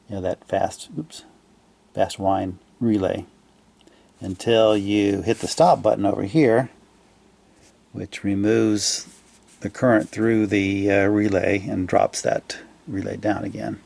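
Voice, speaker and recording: A man giving a casual, unscripted walkthrough of a repair, speaking haltingly as he thinks aloud and trails off mid-phrase. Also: fairly low pitch, creaky, darkish tone. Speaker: hobbyist repairer presenting his own fix.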